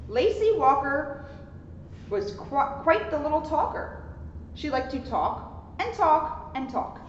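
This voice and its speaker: Animated high-voiced woman in a reverberant room. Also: slow. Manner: talkative, expressive. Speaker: police officer reading a children's book. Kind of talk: storybook read-aloud with casual chatty asides. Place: indoor lounge at a borough hall. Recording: echoey reverberant room, muffled, some noise.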